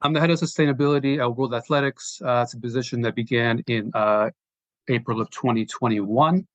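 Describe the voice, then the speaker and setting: A middle-aged man with an American accent, giving a measured, reflective, conversational account as an interview guest. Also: medium pitch, steady tone, no room sound, dull audio. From United States, born in Slovenia. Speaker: interview guest, sports sustainability executive.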